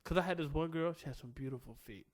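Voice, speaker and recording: A man's voice: on the high side, fast. Chatty giggly young man. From Southside Richmond, Virginia. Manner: laughs often, rambling with restarts. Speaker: podcast co-host. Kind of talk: casual comedic chat.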